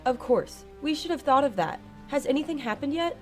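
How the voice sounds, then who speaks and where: A woman's voice: high voice, lively intonation, a little fast. A woman playing a concerned, practical colleague in a radio drama, speaking in an earnest, solicitous way. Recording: music under the voice.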